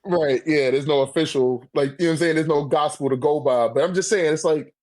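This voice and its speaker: High-voiced man in a slangy debate. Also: a little fast, a little mellow, slight background noise. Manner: slangy, profane, repeats "you know what I'm saying". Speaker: debater on a manga ranking podcast. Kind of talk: casual debate chat.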